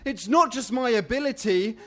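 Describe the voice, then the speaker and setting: A man's voice: very high-pitched, animated, moderate pace, sharp tone, pitched above the performer's own voice, exaggerated voice. A man voicing a timid, polite bat magician who speaks earnestly and apologetically yet firmly in a storybook tale. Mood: pleading, earnest. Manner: apologetic, earnest rhymed speech.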